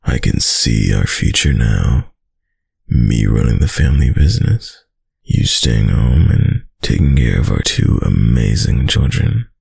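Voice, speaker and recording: A man playing a dominant, doting young mafia heir in an intimate boyfriend roleplay, with a very deep, gravelly voice and a slow, tough-guy delivery. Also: sing-song, darkish tone, no room sound, clean studio sound, heavily acted. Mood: earnest, devoted. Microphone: close, dry microphone.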